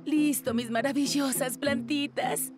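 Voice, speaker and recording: A woman's voice: high-pitched, steady tone, unhurried. A woman voicing a cheerful, doting cartoon mother with a theatrical, cooing way of talking. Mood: dreamy, doting. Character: cheerful doting mother who adores her garden. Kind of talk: Spanish-language cartoon dub. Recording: loud music bed under studio voice.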